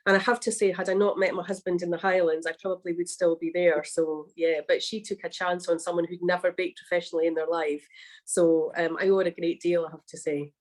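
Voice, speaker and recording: A woman's voice: fairly low pitch, level tone, a little fast, darkish tone. A Scottish woman, a cookbook author and baker, chatting on a video call with frequent laughs. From Scotland. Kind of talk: casual chat on a livestream.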